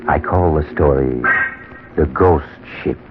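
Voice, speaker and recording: A man's voice: a bass voice, slow, loud music behind the voice. A man playing a sinister, courteous storyteller in 1940s radio horror, theatrical and ominous, on a muffled old recording. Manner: theatrical, ominous, mock-polite. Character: sinister, courteous male storyteller host of eerie tales.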